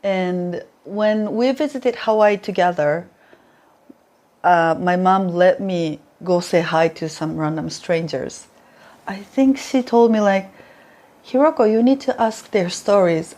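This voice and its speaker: A woman's voice: lowish voice, unhurried, a little mellow. Playful Japanese woman telling stories. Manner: chatty, laughs often, playful storytelling. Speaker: former reporter, interview guest. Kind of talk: casual interview chat.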